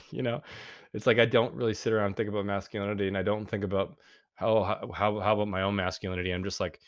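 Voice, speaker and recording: A man with a deep voice, a podcast guest talking earnestly and reflectively with frequent fillers. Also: little pitch movement, quick pace, no room sound, clean studio sound. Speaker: podcast guest, men's group founder. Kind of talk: casual interview talk.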